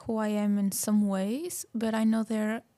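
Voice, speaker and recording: Soft, mellow-voiced young woman. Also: medium pitch, flat delivery, measured pace. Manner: shy, brief answers. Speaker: student guest. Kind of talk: casual chat.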